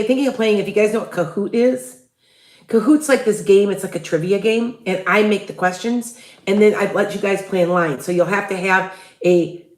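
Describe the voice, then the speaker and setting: A woman's voice: medium pitch, echoey room. A woman with a Chicago accent, hosting a casual livestream and talking in loose, rambling sentences full of restarts. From Midwest US. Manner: rambling, many restarts, asks viewers for tips. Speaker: psychic livestream host.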